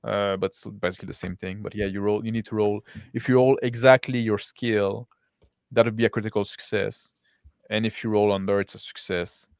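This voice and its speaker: A man's voice: lowish voice, fairly even intonation, a little fast, vocal fry, clean tone, no room sound, studio quality. A man hosting a roleplaying stream as game master, chatting casually and rephrasing as he goes. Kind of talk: casual unscripted post-game chat.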